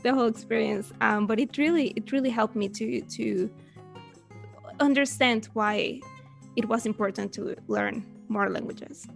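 A woman's voice: fairly high pitch, unhurried, gravelly, faint music behind. A young woman from Venezuela, eagerly telling stories as a guest in an interview. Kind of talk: casual interview chat.

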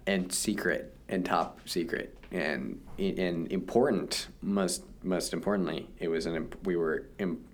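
A young man co-hosting a podcast, teasing and joking in casual banter, with a deep voice while a little sick. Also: measured pace, hardly any pauses. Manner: teasing, joking, self-mocking. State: slightly sick. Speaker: podcast co-host.

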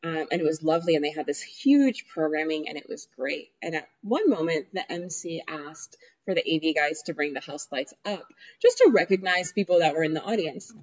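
A woman's voice: low voice, lively intonation, a little fast, hardly any pauses, poor audio. A young woman from New York City, chatting easily in an interview, laughing often and using plenty of fillers. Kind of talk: casual interview conversation.

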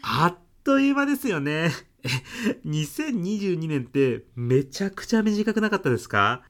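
A woman's voice: on the low side, sing-song, normal speed. A woman playing a polite, deferential young salaryman son-in-law in a comic radio drama, with a hesitant businesslike manner. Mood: businesslike, courteous.

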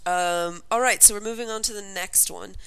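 A chatty woman co-hosts a casual podcast and rambles along with plenty of ums. She sounds a little sniffly from a cold. Her voice is bright, crisp and clear. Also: medium pitch, normal speed, poor audio. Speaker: podcast co-host. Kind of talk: casual chat about retro toy commercials.

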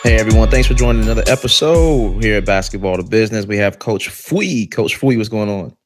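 A podcast host with a very low, deep voice greets his listeners in an upbeat, welcoming way and then questions his guest. Faint music plays under the opening. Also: fairly quick. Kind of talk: podcast intro and interviewing.

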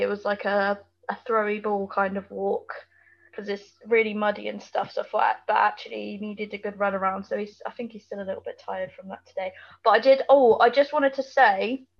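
A woman's voice: mid-range voice, creaky, dull audio. A British woman co-hosting a podcast, bantering casually in a chatty, informal and teasing way. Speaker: podcast co-host. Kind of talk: casual banter about a TV episode.

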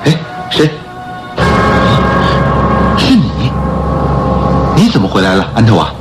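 A man acting in a radio drama as a suave, mocking gentleman thief, with a theatrical, scoffing, questioning delivery. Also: lowish voice, wildly swooping pitch, slow pace, over loud music, exaggerated voice. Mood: startled. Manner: theatrical, scoffing, interrogating with exclamations.

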